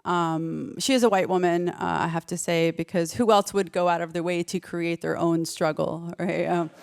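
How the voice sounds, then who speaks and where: A woman's voice: fairly low pitch, fairly even intonation, moderate pace, vocal fry, smooth. A woman performing stand-up comedy in a conversational, self-interrupting way, slipping into quoted remarks. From United States.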